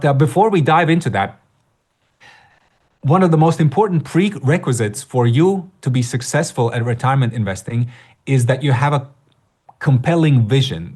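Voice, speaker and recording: A man's voice: medium pitch, darkish tone. A middle-aged man from Latvia hosting a live online workshop, talking conversationally with frequent fillers and restarts. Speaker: workshop host. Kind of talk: live webinar presentation.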